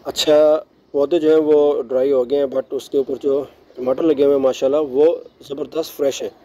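Mellow-voiced man touring his rooftop garden. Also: medium pitch. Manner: relaxed, chatty, mixes English words into speech. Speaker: gardening vlogger. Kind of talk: casual show-and-tell narration.

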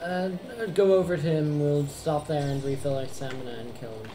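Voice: mid-range voice, fairly even intonation, normal speed, noisy background. A gamer bantering casually and playfully through gameplay, with a sarcastic streak. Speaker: gamer co-playing on a shared controller. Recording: roomy echo, game music behind. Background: video game music and sounds.

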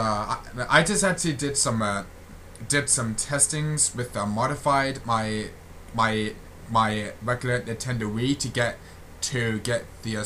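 A young man giving a casual channel update as a gaming video creator, halting and often repeating his phrases, on a built-in computer microphone. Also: medium pitch, bright, forceful tone, slight background noise. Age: young adult.

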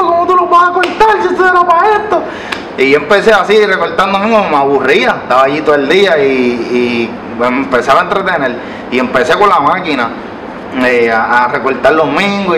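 A man in his thirties from Puerto Rico with a Puerto Rican Spanish accent, a barber telling his story as an interview guest, with many hesitations and restarts. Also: medium pitch. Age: 30s. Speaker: barber and business owner, guest. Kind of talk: relaxed storytelling interview answers. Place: living-room style studio set. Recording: roomy sound from a distant mic with background noise.

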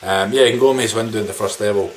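Low-voiced man chatting casually, noisy room. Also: racing. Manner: rambling, informal, mildly sweary. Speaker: video game collector vlogger. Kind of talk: casual chat showing game pickups to camera. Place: small living room indoors. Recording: background noise, room ambience.

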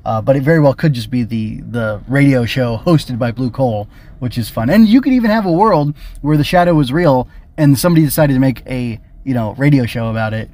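A man's voice: medium pitch, lively intonation, fairly quick, warm tone. A man hosting a casual solo review podcast, rambling and thinking aloud with tentative digressions. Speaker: podcast host and film reviewer.

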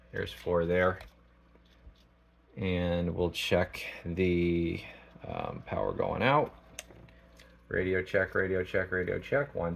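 A man giving a casual product demonstration as a technician, thinking aloud in a halting, informal way. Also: deep voice, creaky, muffled recording. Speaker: radio technician. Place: small indoor electronics workshop.

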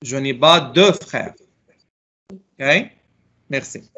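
A man's voice: mid-range voice, expressive, drawn-out words, pushed and bright, breathy edge, highs cut off. A middle-aged man teaching a French class over a video call, calling on students and patiently correcting their answers. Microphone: laptop mic on a video call.

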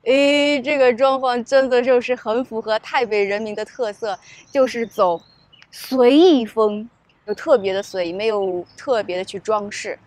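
Chatty upbeat woman vlogger, higher voice. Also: fairly even intonation, a little slow. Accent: Shanghai Mandarin. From Shanghai, China. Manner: chatty and upbeat, talks straight to the viewer. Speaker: travel vlogger. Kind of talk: casual vlog narration. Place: garden and wooden café, mostly outdoors. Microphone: small clip-on mic on the shirt. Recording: soft music underneath.

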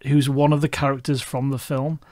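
A man's voice: medium pitch, level tone, brisk, a little mellow, no echo. Scouse male podcast host, middle-aged, rambling casual questions, dry close sound. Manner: rambling, many restarts and fillers. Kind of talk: casual interview chat.

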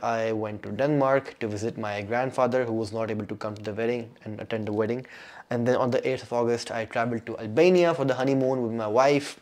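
A man's voice: medium pitch, darkish tone. Chatty young man with Urdu roots. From Pakistan. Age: young adult. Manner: chatty, rambling, lots of fillers and restarts. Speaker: doctor and vlogger. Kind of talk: casual vlog life update.

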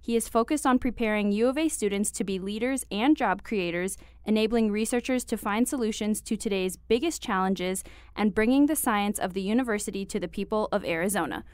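A woman with a smooth, clear, resonant voice co-hosts a business podcast, reading from notes in a friendly, even manner. The sound is close and dry, with no room around it. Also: medium pitch, brisk, hardly any pauses, no room sound. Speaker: podcast co-host. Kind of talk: reading a guest bio, then interviewing.

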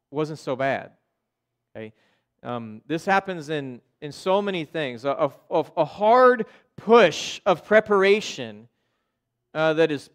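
American guy pastor giving a casual sermon, smooth clear voice, bit on the higher side. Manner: informal, checks in with listeners using "okay?" and "right?". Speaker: pastor preaching. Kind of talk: conversational sermon. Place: church sanctuary in front of a congregation.

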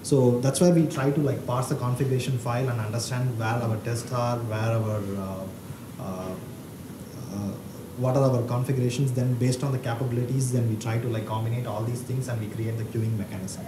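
A man's voice: mid-range voice, fairly even intonation, moderate pace. Casting: hesitant man in his thirties explaining code at a meetup, echoey room, audible background noise. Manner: hesitant, many uhs and likes. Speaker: conference speaker. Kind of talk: technical meetup talk. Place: meeting room with audience. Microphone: handheld mic. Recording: roomy hall with background noise.

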